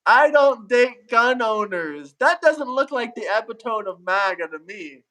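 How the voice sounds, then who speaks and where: A man's voice: very high-pitched, expressive, measured pace, pushed and bright, rough recording. A man hosting a livestream, mocking people on screen and giggling often. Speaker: livestream host. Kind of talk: casual joking commentary.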